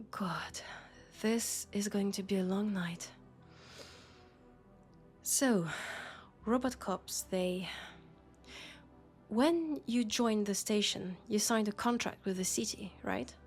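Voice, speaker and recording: A woman with a French accent playing a worried, exasperated female police colleague and roommate in an intimate sci-fi audio roleplay, speaking softly and hesitantly. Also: medium pitch, slow, long pauses, soft background music. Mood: uneasy, hesitant.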